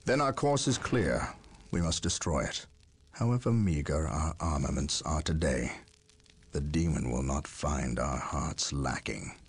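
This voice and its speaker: An actor playing a dutiful, defiant space marine captain with a firm, declamatory delivery in a game cutscene. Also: male voice, very deep voice, lively intonation, a little slow, husky, exaggerated voice. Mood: resolute. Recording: game audio over loud music.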